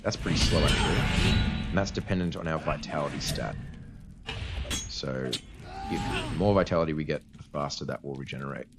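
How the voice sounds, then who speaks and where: Very deep-voiced man with a tired voice. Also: normal speed, long pauses. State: tired voice after a cold, cutting out at times. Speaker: let's play host. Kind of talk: casual gameplay commentary. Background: game music.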